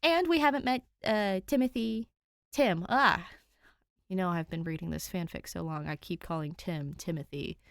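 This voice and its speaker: A woman reading fan fiction aloud and chatting to listeners in an expressive way. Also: lowish voice, normal speed, creaky, no room sound, studio quality. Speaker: fan fiction podfic reader. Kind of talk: story narration and casual chat to listeners.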